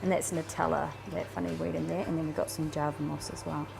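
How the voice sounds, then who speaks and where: Gravelly Kiwi woman chatting with music under. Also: deep voice, little pitch movement, fast talker. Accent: New Zealand. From New Zealand. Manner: rambling, lots of ums and restarts. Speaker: fishkeeping vlogger. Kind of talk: casual chat while filming her fish room. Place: home fish room, indoors. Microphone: handheld camera.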